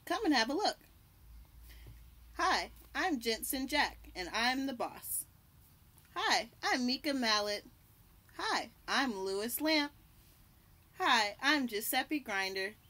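A young adult woman reading a picture book aloud to children, deliberately, pausing to point out and label the pictures. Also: on the high side, sing-song, pushed and bright. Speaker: children's read-aloud host. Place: outdoors on a house porch by trees. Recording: birdsong and light outdoor background noise. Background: birds chirping.

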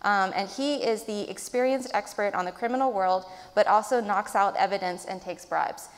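A woman's voice: medium pitch, moderate pace. Masked woman panelist in a reverberant hall. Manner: thoughtful, hedging with ums. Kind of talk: academic panel discussion. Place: large auditorium stage. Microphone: table mic, wearing a mask.

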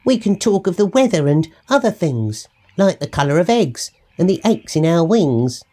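Chatty little storybook bird, acted by a man, raised pitch, lively, eager, sing-song. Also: normal speed. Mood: friendly, eager.

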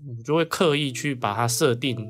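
A man's voice: medium pitch, fairly quick, fairly bright tone. A man from Kaohsiung with a Taiwanese Mandarin accent, answering conversationally as an interview guest. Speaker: guest author.

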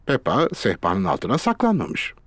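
A man narrating a children's cartoon in a clear, expressive storytelling voice. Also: on the low side, normal speed, no room sound. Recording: music playing under the voice. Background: children's cartoon music.

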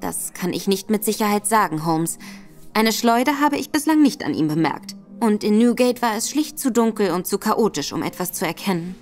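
An actress playing a confident, cunning female detective in a radio drama, speaking briskly. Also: mid-range voice, animated, faint music behind, natural voice. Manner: self-assured, brisk.

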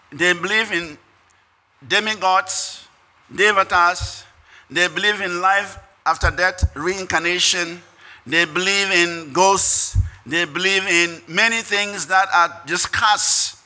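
Older male preacher, Ghanaian accent, very sharp high voice, phrase-by-phrase conference address at a podium. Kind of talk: prepared speech read at a lectern. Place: conference hall stage. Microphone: podium microphones.

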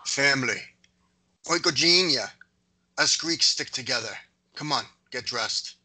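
Man playing a young boyfriend, very bright tense voice, proud, restrained, drama table read. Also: medium pitch, lively intonation, unhurried, heavily acted, poor audio. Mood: earnest, uneasy. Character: proud young Greek-American boyfriend, family-minded, restrained. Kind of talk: acted dialogue in a screenplay table read. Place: indoors on a group video call.

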